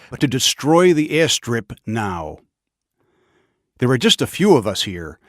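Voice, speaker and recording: An actor playing a grave, resolute army officer in a war game, giving a scripted briefing in a deliberate, commanding way. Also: male voice, fairly high pitch, dry recording, studio quality. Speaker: military commander.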